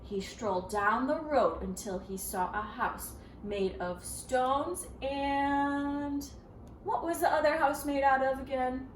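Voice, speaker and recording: High-voiced woman reading to children, unhurried. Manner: animated, dramatic gasps, prompting questions. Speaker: children's story reader, teacher. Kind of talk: expressive interactive storybook read-aloud for children. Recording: roomy, distant, faint background noise.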